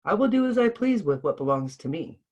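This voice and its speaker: A man voicing a vain, selfish male pond spirit in a storybook, theatrical and quick to take offence. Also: fairly high pitch, very animated, darkish tone, voice pushed up. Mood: cold anger. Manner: theatrical, indignant, ranting. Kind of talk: storybook read-aloud.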